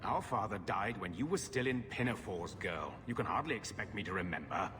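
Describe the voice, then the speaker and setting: An actor playing a gruff, evasive middle-aged uncle in a fantasy game, bitter and dismissive in manner. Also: male voice, fairly low pitch, lively intonation, brisk, lightly acted. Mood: defensive, irritable. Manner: surly, dismissive. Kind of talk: fantasy video game acting. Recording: long reverb, loud music behind.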